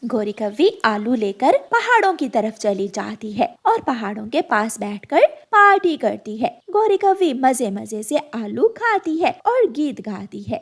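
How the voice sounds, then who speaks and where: A woman's voice: a little high, big pitch swings, unhurried, few pauses. A woman storyteller giving scripted narration for a children's cartoon.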